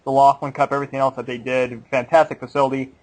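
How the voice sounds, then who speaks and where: A man's voice: medium pitch, level tone, quick pace, low-quality recording. A man in his late twenties co-hosting a bowling podcast, chatting casually, laughing often and playfully cynical. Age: late 20s. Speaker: podcast co-host. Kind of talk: casual chat.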